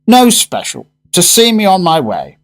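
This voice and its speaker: An actor plays a blustering British businessman in a radio drama. His fairly high male voice swings widely in pitch, brisk, chatty and excitable. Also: heavily acted. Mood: grumbling. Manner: animated, colloquial, grumbling. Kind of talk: fan-made radio drama acting.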